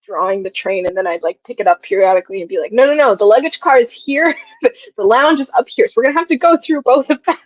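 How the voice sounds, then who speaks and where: A woman's voice: fairly high pitch, fast talker, dull audio. A woman in her twenties chatting casually as an interview guest, thinking aloud as she talks. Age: 20s. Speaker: guest, audio drama writer.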